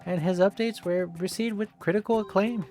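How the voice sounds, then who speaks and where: Narrator reading aloud over clear music. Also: mid-range voice, fairly even intonation, brisk. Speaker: streamer reading a visual novel aloud. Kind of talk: expressive read-aloud narration. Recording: game music behind the voice.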